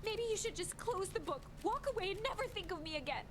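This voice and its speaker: A woman's voice: very high-pitched, expressive, fast, noisy background, voice pushed up. A woman voicing a small, dejected storybook being in a game, pleading in frustration. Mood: frustrated, despairing. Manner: plaintive, dejected.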